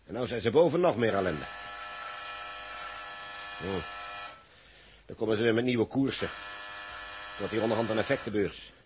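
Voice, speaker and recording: Actor as grumbling, animated ground-control boss. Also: male voice, lowish voice, moderate pace, pauses often, noisy background, natural voice. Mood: exasperated. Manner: gruff, exasperated, emphatic. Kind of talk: 1960s radio drama acting.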